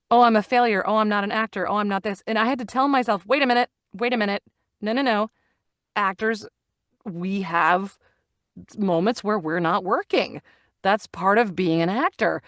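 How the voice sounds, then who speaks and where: A woman's voice: medium pitch, normal speed, a bit bright, no echo, studio quality. A middle-aged woman talking casually as an interview guest, telling stories and acting out the lines she quotes. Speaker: guest, actor and audiobook narrator.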